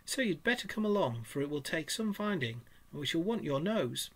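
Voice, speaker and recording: A man's voice: on the high side, sing-song, fairly bright tone, husky, full character voice. A man doing a hearty, kindly water rat. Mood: cheerful. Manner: sympathetic, brisk and cheering. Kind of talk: storybook reading.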